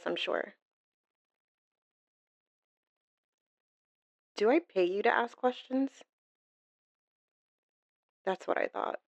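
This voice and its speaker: A woman acts out a tough female mafia boss in an intimate ASMR roleplay. The voice is full of gravelly fry and takes its time, cool and commanding yet tender toward a lover. It sounds very dry and close, with no room around it. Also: medium pitch, darkish tone, dry recording. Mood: cold, commanding. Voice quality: gravelly, creaky. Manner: cool, unhurried, commanding drawl with pet names.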